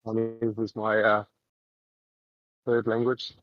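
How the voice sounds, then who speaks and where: A man from New Zealand with a New Zealand accent, chatting casually on a voice call while walking outdoors, halting and full of fillers. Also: lowish voice, monotone, no echo, highs cut off. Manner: rambling, halting, many fillers.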